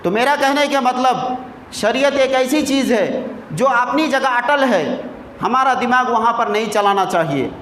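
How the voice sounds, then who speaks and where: A male cleric delivers a sermon in a strikingly high-pitched voice. He teaches through storytelling and rhetorical questions, repeating key phrases to drive them home. The sound is echoey, with faint noise behind him. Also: lively intonation, a little slow, fairly bright tone.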